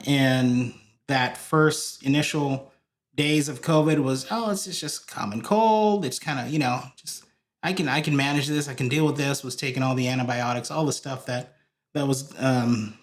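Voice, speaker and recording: A man from Hawaii, a guest on a podcast, telling stories in a rambling way with many fillers and restarts. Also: mid-range voice. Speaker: podcast guest, church video director. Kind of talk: casual interview chat.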